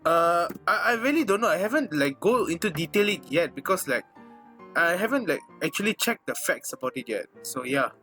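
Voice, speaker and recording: A man's voice: sits high, big pitch swings, a little fast, pushed and bright, soft background music. A young man with a Malaysian English accent, co-hosting a casual podcast and rambling with lots of "I mean, like". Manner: rambling, heavy use of "I mean, like". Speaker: podcast co-host. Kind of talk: casual chat.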